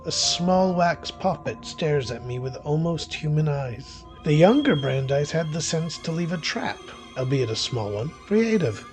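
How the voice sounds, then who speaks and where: A man's voice: fairly high pitch, a little mellow. A man playing a weary, cynical male witch, narrating a horror thriller audio drama in the first person in a wry, brooding, theatrical way. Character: weary, cynical male witch hunting through a haunted house. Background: music behind the voice.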